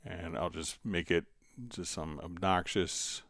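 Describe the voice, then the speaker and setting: A man hosting a live stream, casually explaining software step by step while answering viewer questions. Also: low voice, flat delivery, slow pace, no room sound, studio quality.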